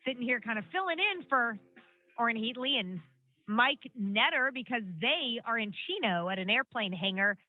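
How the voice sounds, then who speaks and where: A woman's voice: a little high, expressive, moderate pace, no room sound, faint music behind. Chatty woman radio host, tinny line. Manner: chatty, loose, with restarts. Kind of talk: talk radio hosting. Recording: dry tinny phone-line audio.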